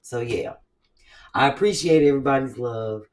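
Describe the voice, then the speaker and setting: A woman hosting a casual chat, talking in an animated, blunt, profane way with quick asides. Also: extremely low pitch, far from the mic. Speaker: host.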